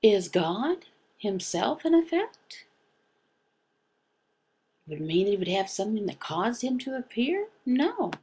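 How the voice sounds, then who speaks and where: A woman giving an explanatory religious lesson in short, emphatic, halting phrases, often asking and answering questions. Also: medium pitch, big pitch swings, warm tone, breathy edge. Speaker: teacher voicing a signed religious lesson.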